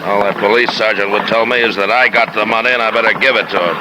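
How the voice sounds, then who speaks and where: An actor playing a blunt, quick-tempered schooner skipper in an old-time radio drama. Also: male voice, on the low side, fairly even intonation, lightly acted. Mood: gruff, guarded. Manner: blunt, irritable, curt. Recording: noisy vintage radio drama recording.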